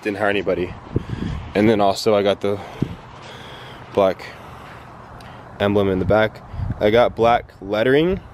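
A man's voice: fairly low pitch, slight background noise. A young man in his twenties talking casually to a handheld camera in a laid-back, rambling way, at home and in his car. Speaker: fitness vlogger. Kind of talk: casual vlog narration. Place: apartment kitchen and parked car. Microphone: handheld camera held close.

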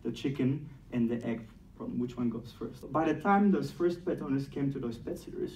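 A man in his thirties from Belgium with a Belgian French accent, giving a playful, energetic startup talk on stage and acting out dialogues. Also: mid-range voice, normal speed, slight background noise. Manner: playful, acts out dialogues, laughs at own jokes. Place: large dark event room on a stage. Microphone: distant mic, speaker far from camera. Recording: roomy sound from a distant camera.

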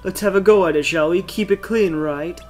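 A man's voice: high voice, moderate pace, a little mellow. A man giving casual, playful commentary while he plays a video game. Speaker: gamer commentating on playthrough. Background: game music.

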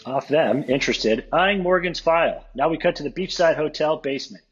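A man narrating stage directions from a script at a table read, with a very husky voice. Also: fairly high pitch, brisk, a bit bright. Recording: dull lo-fi audio, music behind.

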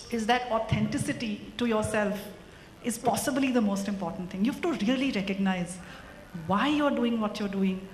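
A woman speaking as a panelist on a conference stage, reflective and reassuring, into a handheld mic. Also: fairly high pitch. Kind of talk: panel discussion. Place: conference stage before an audience. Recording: echoey hall with faint noise.

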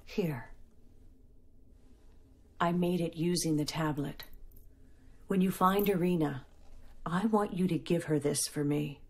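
A woman's voice: low-pitched, slow pace, pauses often, breathy edge, full character voice. A woman acting an anguished, devoted middle-aged mother in a game cutscene, earnest and sorrowful. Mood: tender, solemn. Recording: background music under the voice.

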